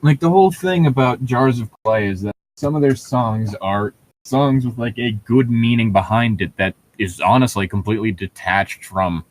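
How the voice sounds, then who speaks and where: A man's voice: mid-range voice, moderate pace, rough recording. A man in a casual, opinionated chat, talking in a rambling, sweary way.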